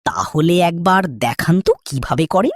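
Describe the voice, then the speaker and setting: A woman voicing a sly, wicked, scheming fox with a raspy voice in a children's cartoon. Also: lowish voice, animated, normal speed, dry recording, studio quality. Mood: sly flattery. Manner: menacing, scheming, swooping delivery.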